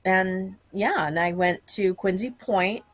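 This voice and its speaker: A woman's voice: medium pitch, slow, no room sound. A woman, chatting bluntly and rambling as a guest in an interview. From Massachusetts, USA. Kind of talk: candid casual interview chat.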